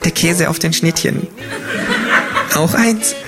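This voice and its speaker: A man's voice: very high voice, brisk, very noisy, pitched above the performer's own voice. A man voicing a cheeky talking kangaroo who delivers dry, innocent-sounding quips.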